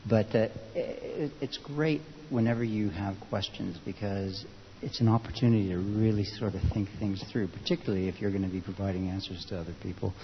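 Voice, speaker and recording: Reflective male speaker, deep-pitched, halting and measured, addressing a group through a dull, hissy recording. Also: fairly even intonation, in a large hall. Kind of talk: thoughtful unscripted talk to a group.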